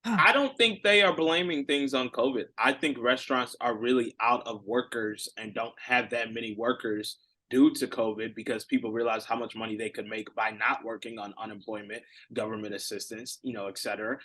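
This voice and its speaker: A man's voice: medium pitch, steady tone, bright, forceful tone. A man co-hosting a podcast in casual, teasing, talkative chat. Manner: teasing, talkative, drawn-out explanations. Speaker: podcast co-host.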